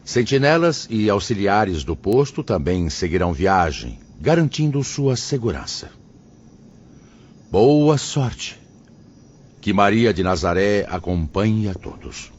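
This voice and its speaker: A man's voice: fairly low pitch, big pitch swings, slow, a little mellow, exaggerated voice. A man playing a kindly, solemn spirit master in a Brazilian audio drama, speaking formally and paternally with a Brazilian accent. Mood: calm authority. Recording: muffled, noticeable background hiss.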